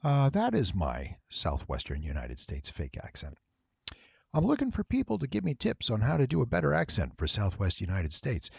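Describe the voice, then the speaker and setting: A man narrating a tutorial, explaining things step by step in a deliberate, emphatic way. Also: on the low side, vocal fry, a little mellow, slightly rough, no room sound, muffled recording. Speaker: voice actor giving an audio editing tutorial. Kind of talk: explanatory screen-recording narration.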